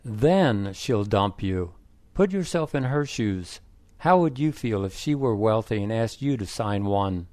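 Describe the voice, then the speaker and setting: A man's voice: lowish voice, animated, measured pace, a little mellow, no echo. Man reading as a blunt, lecturing friend.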